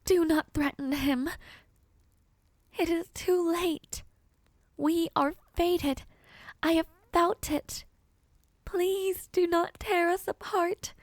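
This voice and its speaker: A woman playing a gravely ill young princess in an audio drama, gentle but resolute, with soft, breathy, formal and pleading speech. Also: very high voice, steady tone, takes it slowly, pauses often, no room sound, studio quality. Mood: urgent, defiant. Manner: earnest, formal storybook diction. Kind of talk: audio roleplay drama acting.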